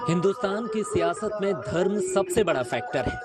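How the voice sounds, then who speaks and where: A man's voice: high voice, expressive, normal speed. A man reading a scripted television news report as its narrator. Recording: music under the voice.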